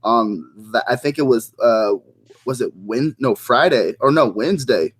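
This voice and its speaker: A man's voice: mid-range voice, animated, a little slow, breathy edge. A man appearing as a podcast guest, telling casual, rambling stories and laughing often. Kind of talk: casual chat.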